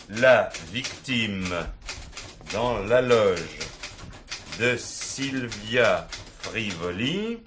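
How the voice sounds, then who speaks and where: An actor playing a sardonic police inspector in a stage play, needling and familiar. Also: male voice, lowish voice, full character voice. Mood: deliberate. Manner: familiar, needling, dictates slowly and deliberately. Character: wily, sardonic male police inspector grilling a suspect. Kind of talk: filmed stage play acting. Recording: hissy 1970s theatre recording.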